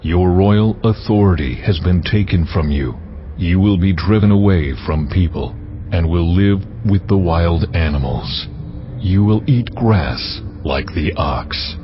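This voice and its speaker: A man voicing a solemn, commanding voice from heaven, pronouncing judgment with deliberate weight in a dramatized scripture recording. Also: deep voice, slight background noise. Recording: muffled, with prominent music.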